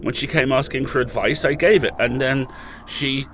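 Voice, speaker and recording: A man's voice: mid-range voice, moderate pace, fairly bright tone, slight background noise, close to an everyday voice. A man playing an imprisoned podcast host in an audio drama, intense and raging, pleading with his colleagues. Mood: angry. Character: imprisoned male podcast host, furious and desperate.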